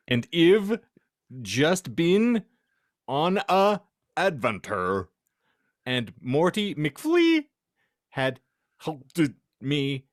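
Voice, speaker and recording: A man's voice: on the high side, no echo. A man hosting a podcast, giving a comic dramatic reading of fan fiction in a theatrical way and often close to laughing. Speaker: podcast host.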